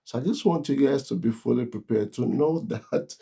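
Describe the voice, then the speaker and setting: Gravelly Nigerian man giving earnest warnings. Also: mid-range voice, normal speed, warm tone. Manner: earnest, emphatic warnings, repeats phrases. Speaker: vlogger. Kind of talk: casual talk to camera.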